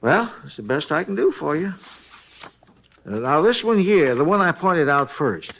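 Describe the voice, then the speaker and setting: An actor playing a chatty, folksy hotel night clerk, rambling and hesitant, in a 1950s radio drama. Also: male voice, a little high, sing-song, normal speed, creaky, fairly bright tone, full character voice. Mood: musing, helpful.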